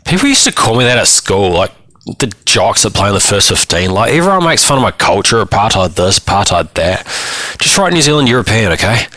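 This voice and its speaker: A man's voice: lowish voice, sing-song, a little fast, sharp tone, no echo, voice pushed up. A man voicing a sullen, defensive teenage boy in trouble, slangy and grudging, within a dramatised story. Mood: sulky, aggrieved. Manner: grudging, slangy, self-justifying. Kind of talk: dramatised short story reading.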